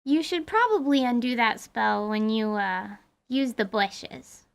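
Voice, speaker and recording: A woman's voice: fairly high pitch, expressive, unhurried, gravelly. A woman playing a blunt, curious young girl adventurer in a fantasy audio drama, with colloquial speech. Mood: curious, pondering.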